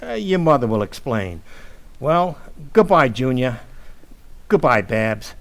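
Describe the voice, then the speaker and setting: A man's voice: mid-range voice, sing-song, takes it slowly. An actor playing a bumbling henpecked family man in an old-time radio comedy, hesitant and flustered as he blusters. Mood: hurt, stalling.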